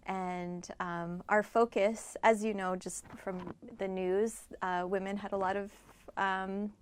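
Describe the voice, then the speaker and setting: Interview guest: woman, middle-aged, Midwest-raised, medium pitch, conversational explainer. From born in Afghanistan, grew up in rural Iowa. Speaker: interview guest, doctor and nonprofit member.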